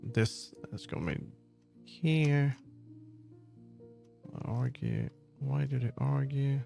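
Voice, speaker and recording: A man chatting casually on a livestream as he works, often halting and muttering to himself. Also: medium pitch, big pitch swings, vocal fry, mellow. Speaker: livestreamer making a game. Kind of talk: casual livestream chat while working. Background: background music.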